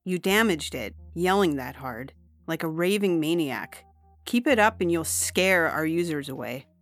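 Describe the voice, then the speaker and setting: A woman's voice: low-pitched, animated, measured pace. A woman playing a brash, businesslike young hacker with a hard, slangy, scornful way of talking, in a dramatized science fiction audiobook. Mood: needling.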